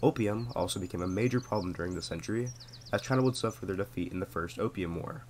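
A young man who is sick reads a scripted history explainer in a steady, explanatory way, then signs off casually. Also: deep voice, quick pace, a little hiss or hum. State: sick, voice sounds off. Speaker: history video narrator. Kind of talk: scripted narration.

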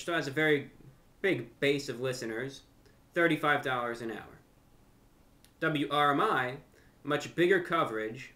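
Male, young adult, American. Bright, sharp-edged voice, mid pitch; earnest casual vlog host talking straight to camera. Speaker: host of a video channel and radio show. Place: furnished room at home.

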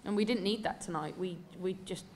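A woman's voice: medium pitch, fairly quick, darkish tone, slight background noise. An English woman in her thirties, a football coach answering press questions candidly and emphatically, with many fillers, at a desk mic in a press room. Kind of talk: post-match press conference answers. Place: indoor press room before a sponsor backdrop.

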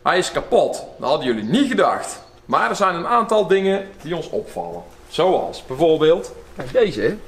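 A young man, a car mechanic hosting a workshop video, explaining engine damage in a chatty, lively way. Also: on the high side, normal speed, slight background noise. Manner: lively, chatty, laughs often.

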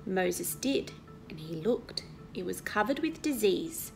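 A woman in her thirties reads a Bible story to children, explaining it and asking questions in an expressive, teacherly way. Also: mid-range voice, slow, gravelly, soft background music, poor audio. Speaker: Sunday school story reader. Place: indoor living room at home.

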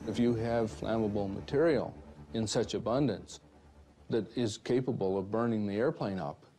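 A man's voice: on the low side, moderate pace, mellow, slightly rough. An older man giving an interview as an accident investigator, plain-spoken and folksy. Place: dark studio interview set. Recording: music laid under the interview.

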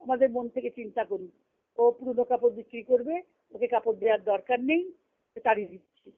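A woman's voice: a little high, a little fast. Phone-quality audio. Female spiritual teacher, didactic delivery, reads aloud and explains with emphatic repetition. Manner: didactic, repeats phrases for emphasis. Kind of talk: devotional teaching, reading a text aloud and explaining it. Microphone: conference call line. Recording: muffled, narrow phone-like conference call.

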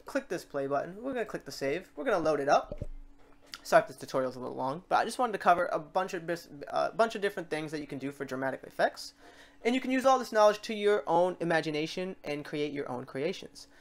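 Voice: medium pitch, sing-song, fast. A tutorial host narrating a screen recording in a casual, rambling way, thinking aloud while working through the steps.

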